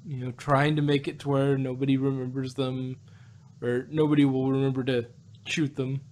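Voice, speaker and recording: A man in a casual review chat, talking in a halting, rambling way as a panelist. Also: mid-range voice, little pitch movement, a little mellow. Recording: clear background noise.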